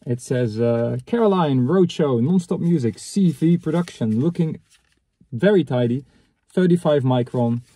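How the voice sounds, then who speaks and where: Man with a muted, slightly husky voice. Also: medium pitch, mellow, no echo. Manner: halting, matter-of-fact, thinking aloud. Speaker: vlogger reviewing secondhand reel-to-reel tapes. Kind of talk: casual unscripted chat, commenting on items as he handles them. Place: inside a parked car.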